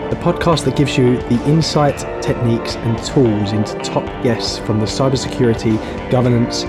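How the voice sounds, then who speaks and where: A man announcing a podcast's opening in a loud, emphatic scripted delivery. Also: medium pitch, fairly even intonation, normal speed. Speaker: show announcer. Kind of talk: scripted show opener. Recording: over loud music.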